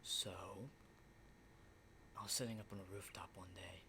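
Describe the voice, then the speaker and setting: A man playing an unsettling, lonely, hardened apocalypse survivor in an ASMR roleplay, speaking in a halting, breathy whisper and soft voice. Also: low-pitched, level tone, background noise, lightly acted. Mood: haunted, storytelling. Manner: hushed, halting, repeats words, mild swearing.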